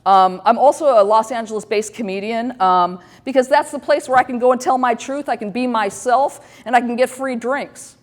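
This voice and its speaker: A woman in her fifties hosts a casual talk show, rambling candidly and poking fun at herself. Her voice sits a little high, and her talk is full of fillers and restarts. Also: moderate pace, few pauses. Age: 50s. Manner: candid, self-deprecating, rambling with many fillers. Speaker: host.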